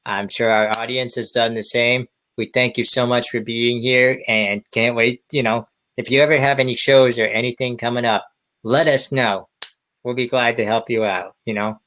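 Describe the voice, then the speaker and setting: A man's voice: mid-range voice, fairly even intonation, normal speed, fairly bright tone. A man hosting a podcast interview in a friendly, conversational style, putting questions to a guest.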